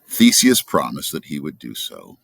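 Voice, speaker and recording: A man's voice: a bass voice, big pitch swings, moderate pace, vocal fry, no room sound. A man reading a story aloud as a narrator. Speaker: storybook reader.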